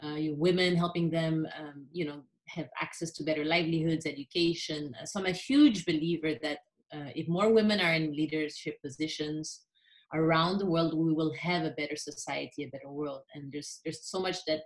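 Low-voiced woman guest, earnest and eager. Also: steady tone, normal speed, poor audio. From Philippines. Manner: earnest and enthusiastic, with frequent fillers. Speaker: podcast guest. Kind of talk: conversational interview.

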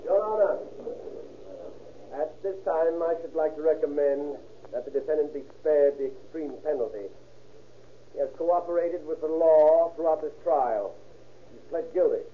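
Man acting a courtroom prosecutor, fairly high male voice, formal, emphatic, earnest, thin vintage radio sound. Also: slight background noise, natural voice. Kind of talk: old-time radio courtroom drama acting. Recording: tinny, band-limited old radio recording.

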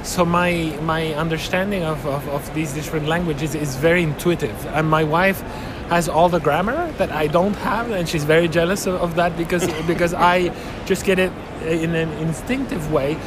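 A man whose first language is Spanish, answering interview questions in a halting way with many fillers and restarts. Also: a little high, background noise. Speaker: interviewee, researcher.

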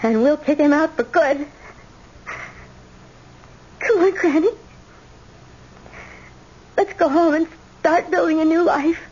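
An actress playing a devoted, emotional young granddaughter in an old-time radio drama. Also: female voice, sits high, slow pace, pauses often, a little mellow. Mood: hopeful, resolute. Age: young adult. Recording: muffled, noisy old radio recording.

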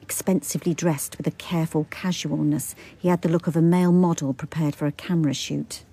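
An actress playing a cool, observant police detective with a British accent, controlled and matter-of-fact, in a radio drama. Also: female voice, deep voice, fairly even intonation, brisk, mellow, breathy edge. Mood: observant, detached. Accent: British English. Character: cool, observant female police detective narrating her case. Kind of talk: radio drama narration and dialogue.

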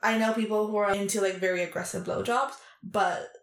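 Woman talking frankly, lots of "like", pauses a lot, mid pitch, echoey room. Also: fairly even intonation. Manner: frank, hesitant, heavy on "like" fillers. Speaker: interview guest. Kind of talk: candid casual chat. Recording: roomy echo.

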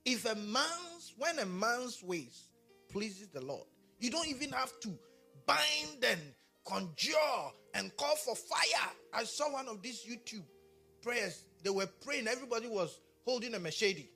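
A man's voice: very high voice, unhurried, creaky, slightly rough, soft background music. A man from Ghana with a Ghanaian accent, preaching a sermon and talking back and forth with his congregation. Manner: animated, talks with the congregation. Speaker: pastor.

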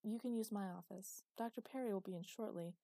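A woman's voice: mid-range voice, brisk, mellow, no room sound, lightly acted. A woman reading the lines of a distracted, awkward female doctor who speaks apologetically. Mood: apologetic. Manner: apologetic, hesitant.

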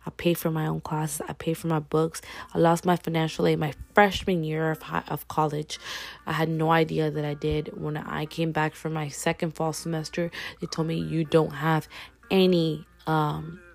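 A woman's voice: deep voice, flat delivery, normal speed, vocal fry, soft background music. A woman in her twenties giving a casual, earnest pep talk to her viewers, repeating key phrases for emphasis. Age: 20s. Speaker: vlogger. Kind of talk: casual motivational talk to camera.

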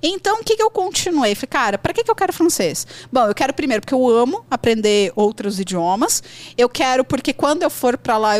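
A woman from Brazil talking casually as a podcast host. She has a high voice and an animated, chatty way of talking that jumps between thoughts. The sound is dry, with no room echo. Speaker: podcast host, English teacher.

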